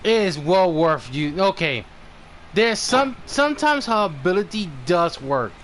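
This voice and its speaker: Guy streaming a game, slow muttery thinking out loud, pitch jumps around, game music behind. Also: very animated, slight background noise. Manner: muttering, halting, thinking aloud. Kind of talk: casual live gameplay commentary.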